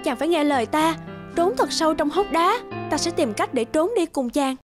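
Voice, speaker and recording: A woman voicing a brave, gentle young princess in a fairy-tale cartoon. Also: high-pitched, lively intonation, moderate pace, music in the background. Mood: wary.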